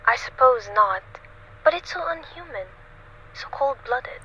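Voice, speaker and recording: Woman acting a fretful, bright-voiced anxious housewife. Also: high-pitched, lively intonation, measured pace, heavily acted. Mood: distressed. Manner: fretful, insistent, melodramatic. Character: anxious, demanding, bedridden wealthy housewife. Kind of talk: old-time radio suspense play acting. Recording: muffled lo-fi recording with background noise.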